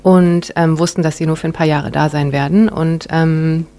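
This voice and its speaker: Young actress chatting on the radio, kinda low voice, lots of ums and laughs. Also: female voice, fairly even intonation, moderate pace, mellow. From Germany, Bonn. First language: German and Japanese, bilingual. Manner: chatty, many fillers, laughs often. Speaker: actress, interview guest. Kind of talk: casual radio interview.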